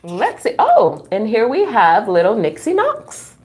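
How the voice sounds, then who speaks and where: A middle-aged woman reading a children's book aloud at home, expressive and playful, with chatty asides to young listeners. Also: a little high. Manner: expressive, playful, drawn-out reading, frequent chuckles and gasps. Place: small room at home.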